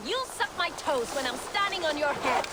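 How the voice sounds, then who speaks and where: A woman's voice: high voice, lively intonation, moderate pace, very noisy. A woman playing a brash, taunting Viking warrior in a video game, with a mocking, boisterous delivery. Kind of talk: dramatic video game acting.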